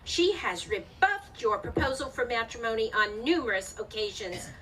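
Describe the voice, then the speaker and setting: High-voiced woman playing a prim widowed mother. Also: very animated, normal speed, pushed and bright. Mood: prim rebuke. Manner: theatrical, formal, alliterative. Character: protective widowed farm mother, primly wary. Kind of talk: old-time radio melodrama acting. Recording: distant stage microphone with room noise.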